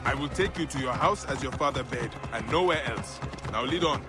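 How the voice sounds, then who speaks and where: A man's voice: medium pitch, expressive, a little fast, close to an everyday voice. A man playing a stern, dutiful warrior bodyguard of ancient Egypt in a game cutscene, curt, serious and brooding. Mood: exasperated. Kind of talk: dramatic game cutscene acting. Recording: loud music behind the voice.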